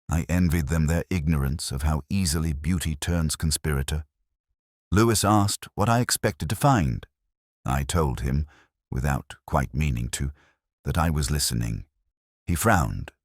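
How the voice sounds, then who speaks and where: A man with a very deep voice narrates a literary mystery. His delivery is measured and melancholic, yet it rises and falls widely in pitch. The sound is close and dry, with no room around it. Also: darkish tone, no echo. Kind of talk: scripted first-person mystery narration.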